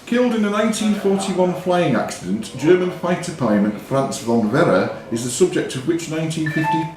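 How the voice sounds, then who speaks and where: A man's voice: on the high side, expressive, fairly quick, darkish tone. A man acting as quiz master, reading questions aloud and ruling on answers. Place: quiz tournament in a pub. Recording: very echoey room with pub crowd.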